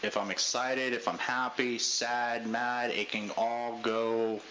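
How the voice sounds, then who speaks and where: A man leading a group debrief in a casual pep-talk style, talking loudly with a lot of inflection. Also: level tone, slow, noisy background. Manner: loud, high voice inflection. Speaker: unit leader giving a debrief.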